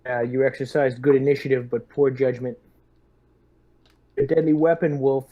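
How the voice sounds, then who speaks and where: A man's voice: medium pitch, little pitch movement, normal speed, dark tone, lo-fi sound. A man playing a tabletop game, casually speaking in character as a military lieutenant. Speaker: player in a tabletop roleplaying game.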